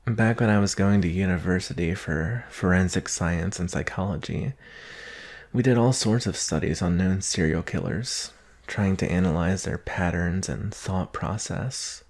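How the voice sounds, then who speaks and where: A man acting a doting, analytical boyfriend in an intimate ASMR roleplay, gentle and musing with long pauses. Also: deep voice. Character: doting boyfriend, soft-spoken, teasing, analytical former forensics student.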